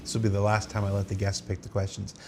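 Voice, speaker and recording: A man's voice: monotone, fast talker, darkish tone. A man hosting a casual live question-and-answer panel, with a wry, self-deprecating manner. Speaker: panel host. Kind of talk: casual live game developer Q&A. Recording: noisy, engine rumble over the talk.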